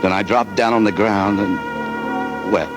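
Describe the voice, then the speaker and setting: An actor playing a guilt-stricken adventurer, narrating his grief in a slow, brooding 1940s radio drama style. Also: on the low side, fairly even intonation, music in the background, natural voice. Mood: grieving. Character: guilt-stricken male adventurer grieving a lost love. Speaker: narrator and lead character.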